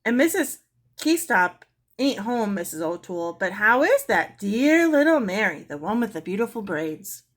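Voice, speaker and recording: A woman's voice: medium pitch, big pitch swings, normal speed, voice pushed up. A woman voicing a folksy, plain-spoken housemaid in a storybook, chatty and colloquial.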